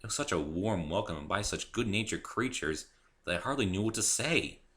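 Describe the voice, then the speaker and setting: A man's voice: fairly low pitch, animated, brisk, a bit bright. A man reading a first-person story aloud as a dramatic narration. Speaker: amateur reader and author of the story.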